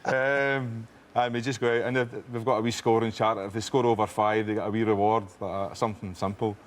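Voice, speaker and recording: A Scottish man in his thirties, a quiz contestant who chats quickly and often corrects himself. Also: lowish voice, level tone, slight background noise. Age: 30s. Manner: chatty, quick, self-correcting. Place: TV studio.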